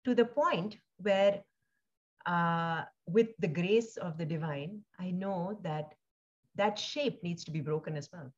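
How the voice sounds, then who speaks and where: Woman guest, India-born and Singapore-raised, lowish voice, reflective, halting delivery, dull lo-fi sound. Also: darkish tone. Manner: thoughtful, halting, many fillers and restarts. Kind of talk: reflective conversational interview.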